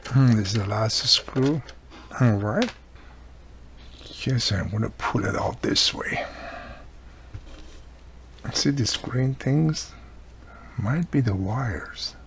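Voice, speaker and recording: Gravelly-voiced man, casual repair commentary. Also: medium pitch, slow pace, pauses often. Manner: talks in short remarks while working. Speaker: host, radio repairer. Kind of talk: casual hands-on repair narration. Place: indoor workbench. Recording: noisy recording with background room noise.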